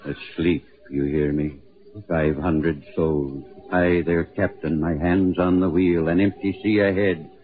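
Haunted ghostly sea captain, muffled radio. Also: male voice, low-pitched, fairly even intonation, slow. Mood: brooding, ominous. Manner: solemn, incantatory, repetitive phrasing. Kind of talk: 1940s radio horror acting. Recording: muffled, narrow-band 1940s radio recording.

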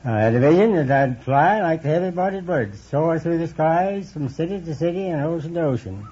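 Elderly male contestant, nineties, husky and high-ish, folksy, on a dull old radio recording. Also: expressive, a little fast, mellow. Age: 90s. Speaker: quiz show contestant. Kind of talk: answering a host's questions.

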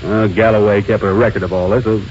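An actor playing an easygoing small-town sheriff with an offhand, musing manner in a 1940s radio drama. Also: male voice, on the low side, level tone, a little mellow, close to an everyday voice. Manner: offhand, hesitant, drawn-out musing. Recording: muffled, hissy old radio recording.

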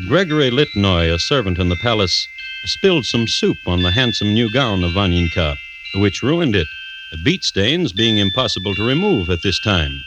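Male storyteller, lowish pitch, very varied intonation, wry, droll, scripted radio narration. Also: very animated, moderate pace, noisy background. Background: music.

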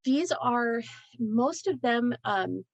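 A woman's voice: a little high, lively intonation, drawn-out words, creaky, dry recording, muffled recording. A woman host asking questions in a casual interview, rambling and restarting her sentences. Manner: rambling, hesitant, many restarts.

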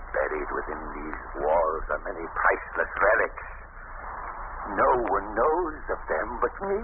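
A menacing greedy killer in an old radio show, gloating, slow and theatrical, tinny hissy sound. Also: male voice, sits low, heavily acted. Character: crazed, greedy male murderer cornered in an abbey. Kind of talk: 1930s-40s radio melodrama acting. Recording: noisy, narrow-band old radio recording.

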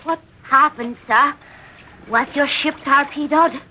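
A woman's voice: sits high, slow, noisy background. An actress in an old radio drama playing an anxious, compassionate West Indian island woman. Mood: concerned. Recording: muffled old radio recording.

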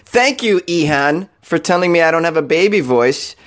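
A man's voice: a little high, animated, normal speed, a bit bright. A young man hosting a comedy podcast, talking loosely and joking, with frequent laughs. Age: young adult. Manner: joking, laughs often, playful. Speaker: podcast host. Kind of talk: casual comedic monologue.

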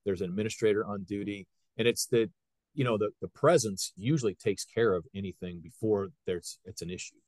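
A man in his fifties from Ohio, a high school wrestling coach who talks earnestly in a casual podcast chat and often acts out what people said. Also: fairly quick, a little mellow, no room sound. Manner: earnest, retells scenes by quoting people.

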